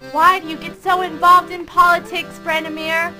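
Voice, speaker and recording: Widowed mother for comic radio melodrama: anxious, bitter, shrill, very high and forceful. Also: flat delivery, moderate pace, faint music behind, full character voice. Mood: fretful, bitter. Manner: shrill, fretful. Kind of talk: comic audio melodrama acting.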